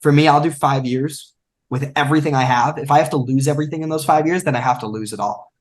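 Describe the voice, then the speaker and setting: A man's voice: a little high, fast. A young man in his twenties, a podcast guest speaking emphatically in a coaching, motivational way. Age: 20s. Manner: emphatic and coaching, acts out quotes. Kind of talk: motivational conversation.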